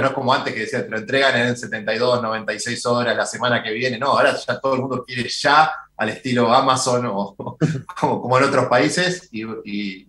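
A man's voice: mid-range voice, sharp tone. A middle-aged man with an Argentine accent hosts an interview over a video call, wearing headphones. He asks long, winding questions, repeating words as he restarts. His voice sounds roomy and echoey. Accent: Rioplatense Spanish. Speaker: TV show host. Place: indoor studio with branded backdrop.

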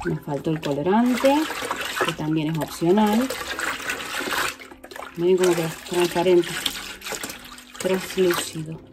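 A woman's voice: lowish voice, takes it slowly, pauses often, heavy background noise. A woman, casually showing viewers how to make liquid soap in her home kitchen while she works. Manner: chatty, addresses viewers directly, working while talking. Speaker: vlogger. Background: background music.

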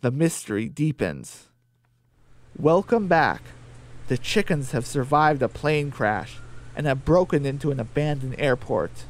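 A man's voice: mid-range voice, lively intonation, a little slow. A man narrating a scripted comedy radio drama as its storyteller.

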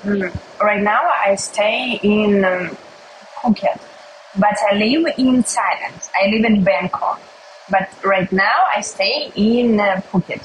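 A young woman from Russia with a Russian accent, hosting a casual livestream while eating, speaking simple English slowly with many pauses and hums. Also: mid-range voice, background noise. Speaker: livestream host. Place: indoors at a table by a window.

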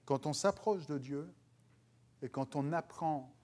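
A man's voice: a little high, slow, a little mellow. A man in his thirties from France, speaking with a French accent, preaching a sermon and lifting his voice on scripture quotes. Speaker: pastor. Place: church stage before dark curtains, indoors.

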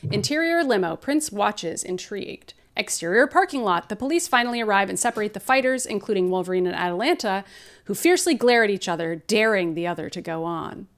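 A woman's voice: mid-range voice, expressive, fairly quick. A woman narrating script stage directions at a table read on a video call, dropping in casual asides. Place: home room on a group video call.

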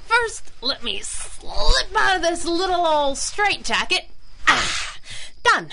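A woman's voice: very high voice, sing-song, takes it slowly, creaky, background noise, exaggerated voice. A woman playing a cocky, wisecracking young heroine in a pulp radio drama, sassy and teasing. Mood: gleeful taunting.

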